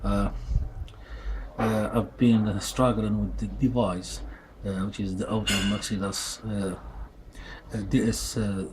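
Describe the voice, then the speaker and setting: A man's voice: fairly low pitch, level tone, measured pace. A man whose first language is Arabic, speaking in English with many fillers and restarts, talking casually about a tool in a workshop. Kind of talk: casual product review vlog. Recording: noisy background.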